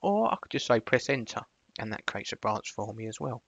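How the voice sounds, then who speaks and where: A man presenting a screencast software tutorial, switching between casual explanation and clipped spoken commands. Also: lowish voice, very animated, a little fast, gravelly, no echo, muffled recording.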